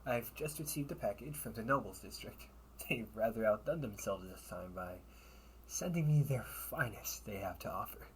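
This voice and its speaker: A man's voice: on the low side, animated, slight background noise, natural voice, poor audio. A man playing a sly, wealthy older brother in a sci-fi audio roleplay, with theatrical, formal, teasing delivery. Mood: playful hospitality. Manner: theatrical, formal wording with teasing chuckles and drawn-out pauses. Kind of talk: solo audio roleplay addressed to the listener.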